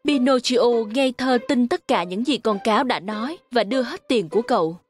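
A woman's voice: fairly high pitch, lively intonation, brisk, soft background music. A woman narrating a scripted fairy tale for children.

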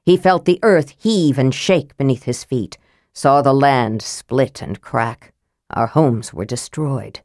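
A gruff old dwarf man, acted out by a woman narrator, pitched low and close in a dry recording. He is proud and angry, speaking in blunt, fierce storybook tones. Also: takes it slowly, a little mellow, no room sound, full character voice. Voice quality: gruff, deep. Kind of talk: audiobook character voice.

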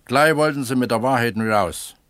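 An actor playing an older pump attendant who writes, proud, blunt and terse, in a 1970s East German radio drama. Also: male voice, medium pitch, moderate pace, fairly bright tone, dry recording, clean studio sound, close to an everyday voice. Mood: resigned, stubborn. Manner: plain-spoken, terse.

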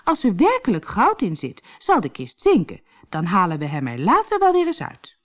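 A woman's voice: mid-range voice, wildly swooping pitch, moderate pace, voice pushed up. A woman voicing a sly, haughty young woman in love, theatrical and exclamatory, for a storybook. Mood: mock disdain. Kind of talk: storybook character acting.

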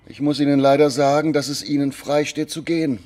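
An actor playing a contemptuous Soviet KGB boss in a noir radio drama, sneering, mock-polite and threatening, with a husky voice. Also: male voice, a little high, steady tone, brisk, darkish tone, lightly acted.

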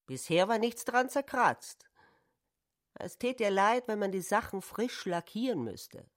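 A woman narrating a literary radio play with deliberate phrasing. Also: medium pitch, lively intonation.

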